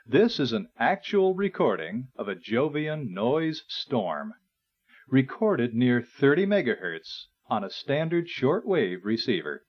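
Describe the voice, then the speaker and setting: A man reading a scripted instructional narration in a measured, explanatory way. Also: medium pitch, big pitch swings. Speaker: narrator and presenter. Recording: dull, lo-fi 1980s recording.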